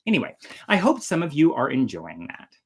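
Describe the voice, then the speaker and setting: A woman hosting a storytime, reading a fairy tale in a theatrical, deliberate way with casual asides. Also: deep voice, wildly swooping pitch, slightly rough. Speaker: storyteller and host.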